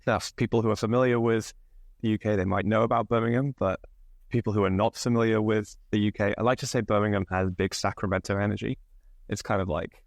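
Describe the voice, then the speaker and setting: A man in his thirties with an English accent, slightly northern, telling stories from his life as a podcast guest, relaxed with lots of fillers. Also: on the low side, fast talker, gravelly, dry recording, studio quality. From England. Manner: relaxed, many fillers, laughs often. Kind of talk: candid conversational storytelling.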